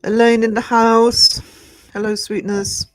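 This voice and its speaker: A man, croaky from just waking up, rambling chattily to his live-stream viewers from home and greeting them by name. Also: very high-pitched, level tone, slow, faint music behind, low-quality recording. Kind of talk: casual live-stream chat. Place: indoors at home. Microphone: desk microphone.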